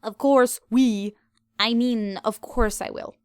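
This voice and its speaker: A woman's voice: fairly high pitch, steady tone, slow pace, clear, resonant tone, no room sound, studio quality. A woman voicing a proud, easily flustered young alicorn princess of the night who speaks in an archaic royal manner. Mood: sheepish.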